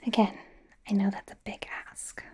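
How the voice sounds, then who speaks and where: A woman whispers softly and breathily in an ASMR personal-attention roleplay. She plays a bubbly young idol stylist who dotes on the listener, coaxing and reassuring with playful, chatty asides. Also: normal speed. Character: bubbly, doting young female pop idol playing stylist.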